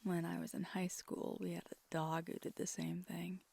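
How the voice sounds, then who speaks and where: A woman playing an affectionate, gentle vampire girlfriend in an ASMR roleplay, speaking in a soft, breathy, intimate whisper. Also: fairly low pitch, little pitch movement, heavily acted. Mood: wistful, gentle. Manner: slow, hushed, intimate.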